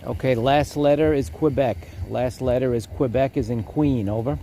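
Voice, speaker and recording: Man, medium pitch, ham radio operator and host, casual demo style, phonetic callouts, loud background noise. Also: normal speed. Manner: spells call signs in phonetic alphabet.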